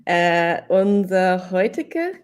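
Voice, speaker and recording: A young woman hosting a casual online session, talking slowly and often restarting her phrases. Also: lowish voice, very animated, darkish tone, clean tone. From Ireland. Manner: slow, restarts phrases, switches between German and English. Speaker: host.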